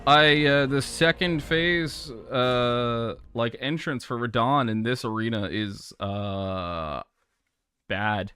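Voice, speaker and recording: A hoarse young man commenting as he plays a hard game live, thinking aloud, swearing and grumbling at his mistakes. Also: mid-range voice, slow pace, bright, forceful tone, faint music behind. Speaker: gaming streamer.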